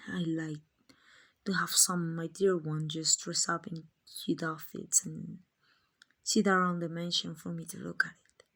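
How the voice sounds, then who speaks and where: A woman acting as a kind, gentle female vampire who owns a mansion, in a hushed, breathy, reassuring ASMR roleplay. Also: low-pitched, level tone, measured pace, full character voice. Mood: calm, explaining. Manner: hushed, breathy, tender and reassuring, often near whispering.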